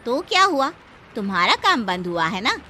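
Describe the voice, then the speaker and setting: A woman's voice: on the high side, very animated, moderate pace, sharp tone, a little hiss or hum. A woman playing a poor, devoted wife who works as a housemaid, earnest and anxious, in a cartoon moral story. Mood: reassuring.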